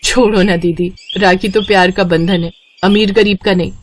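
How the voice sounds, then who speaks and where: A woman's voice: medium pitch, steady tone, moderate pace, a little hiss or hum, close to an everyday voice. A woman voicing an earnest, kind-hearted poor teenage girl in a cartoon story, eager and sisterly. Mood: earnest, wistful.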